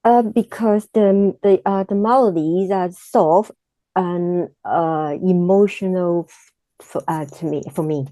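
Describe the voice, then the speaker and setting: A woman with a Cantonese accent speaks slow, broken English, pausing often to find her words. Her voice is soft and warm with a mellow tone. The sound is dry and close, with no room echo. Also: on the low side. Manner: halting, searching for words in simple English. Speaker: exam candidate. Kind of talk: mock speaking exam answers.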